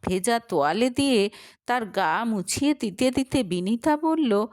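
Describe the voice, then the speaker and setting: A female storyteller narrates a scripted tale. Her voice sits fairly high and moves with very animated intonation. She takes her time over the dramatic narration. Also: unhurried, no room sound, studio quality.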